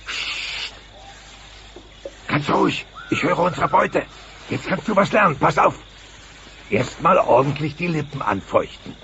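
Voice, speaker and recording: A man's voice: fairly high pitch, very animated, measured pace, long pauses, sharp tone, full character voice. An actor playing a grumpy, indignant middle-aged dinosaur father, theatrical and bossy, in a dubbed comedy. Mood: lecturing. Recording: dull cassette transfer with noise.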